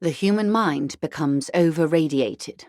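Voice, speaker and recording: A woman's voice: deep voice, unhurried, darkish tone, no echo, studio quality. A woman playing a refined, worldly upper-class lady in a video game, speaking with composed, eloquent, dryly philosophical poise. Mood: measured, grave. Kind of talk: video game dialogue, measured explanatory monologue.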